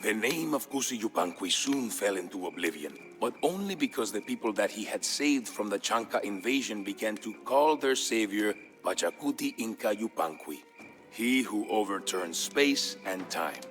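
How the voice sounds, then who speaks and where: A man narrates a dramatic historical story in a fairly low voice. His delivery is measured and solemn, and music plays softly behind him. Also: animated. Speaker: narrator of a game campaign storyline.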